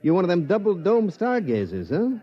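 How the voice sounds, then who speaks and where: A slick, fast-talking spaceport hustler. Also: male voice, high-pitched, huge pitch swings, breathy edge, heavily acted. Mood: pushy. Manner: brash, wheedling street patter. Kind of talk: 1950s radio drama acting. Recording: dull old radio transcription.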